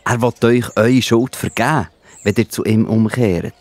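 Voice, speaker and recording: A man with a Swiss accent playing a gentle, reassuring Jesus who explains things patiently in a children's Bible audio play. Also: mid-range voice, measured pace, dry recording, natural voice. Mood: reassuring, encouraging. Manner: explains patiently in simple words.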